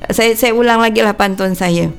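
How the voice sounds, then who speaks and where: A woman with a gravelly, creaky edge to her voice co-hosts a casual radio chat. She swaps rhymed verses with her partner, laughs often and stops on small fillers as she goes. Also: medium pitch, normal speed, soft background music. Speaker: radio co-host.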